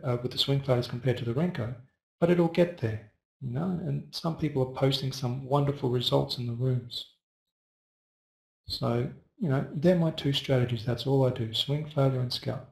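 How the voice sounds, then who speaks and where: Gravelly man, chatty webinar host. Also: medium pitch. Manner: chatty, rambling, addresses viewers by first name. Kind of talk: casual live webinar talk answering viewer chat. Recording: roomy echo.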